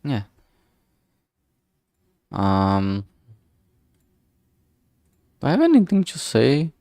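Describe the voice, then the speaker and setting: A man thinking aloud in a halting way, chatting casually on a solo livestream as he works. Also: mid-range voice, huge pitch swings, creaky, a little mellow, dry recording, clean studio sound. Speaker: streamer making a visual novel. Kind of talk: casual solo livestream chat while working.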